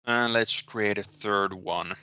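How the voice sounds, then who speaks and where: A man with a Finnish accent giving a recorded programming lecture, pausing often with ums and restarting his sentences. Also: fairly low pitch, steady tone, sharp tone, clean tone, no echo. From Finland. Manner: hesitant, many ums and restarts. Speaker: university lecturer.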